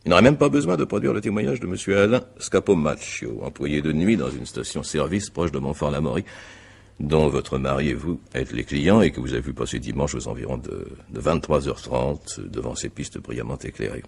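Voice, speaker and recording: An actor playing a stern, methodical examining magistrate in a radio mystery drama, questioning formally and deliberately with a gravelly voice. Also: male voice, rumbling low, darkish tone, heavily acted. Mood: probing, methodical.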